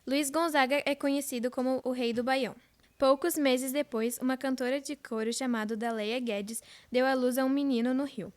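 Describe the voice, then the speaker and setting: A woman's voice: high voice, steady tone, moderate pace. A girl of about twelve with a Brazilian accent presenting a scripted old-time radio show. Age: child, about twelve.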